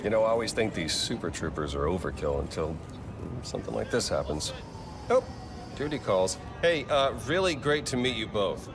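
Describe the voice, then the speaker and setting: Glib, lively corporate executive. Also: male voice, on the low side, moderate pace, music in the background. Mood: breezy. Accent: American. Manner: breezy, ingratiating, casual. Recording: noisy outdoor city game scene.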